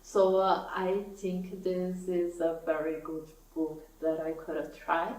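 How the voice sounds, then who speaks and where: A young woman speaks English, slowly and thoughtfully, in an easy vlog chat. She is recorded from a little way off the mic, in an echoey room with a faint hiss underneath. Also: on the low side, monotone, far from the mic. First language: Portuguese. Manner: soft-spoken, thoughtful, laughs often. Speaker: knitting vlogger. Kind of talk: casual chat to camera.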